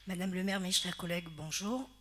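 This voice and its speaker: Bright-voiced woman reading a council report. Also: fairly low pitch, fairly quick, a little hiss or hum. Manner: formal, reads figures steadily. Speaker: council member presenting reports. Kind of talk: reading a formal report aloud. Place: municipal council chamber.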